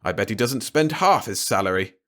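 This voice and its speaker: A man's voice: on the low side, lively intonation, fairly quick, a bit bright. A man voicing a grumpy, pompous, disapproving middle-aged father in a storybook reading. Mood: grumbling. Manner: blustering, pompous.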